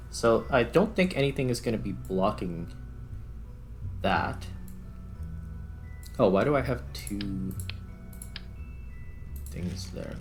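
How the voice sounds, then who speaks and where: A man talks through a game casually, musing to himself as he goes. His delivery is slow and halting, with plenty of fry in the voice. Faint music plays behind him. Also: fairly low pitch. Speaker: gaming video host. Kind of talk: casual gameplay commentary, thinking aloud.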